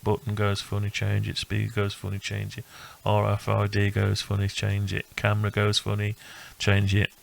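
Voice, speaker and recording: A man giving a casual, unscripted walkthrough of a hobby build, thinking aloud in a halting, rambling way. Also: fairly low pitch, completely flat delivery, creaky. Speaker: electronics hobbyist presenting own build.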